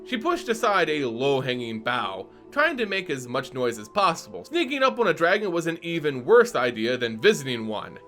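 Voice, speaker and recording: A man narrates a fantasy story with theatrical, playful flair. His voice is bright and lively, rising and falling a lot, with soft music playing underneath. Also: a little high, moderate pace, few pauses. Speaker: channel host and narrator. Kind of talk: dramatic story reading.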